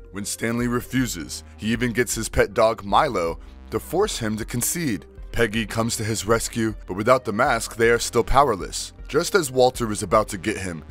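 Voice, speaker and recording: A man with a medium-pitched voice delivers scripted recap narration. He works as a video narrator, with faint music playing behind him. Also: fairly bright tone.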